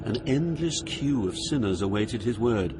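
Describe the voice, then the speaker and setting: A man's voice: fairly low pitch, lively intonation, normal speed, over music, natural voice. An actor playing an awed, troubled poet who narrates his journey through hell with earnest drama. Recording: noisy atmospheric background.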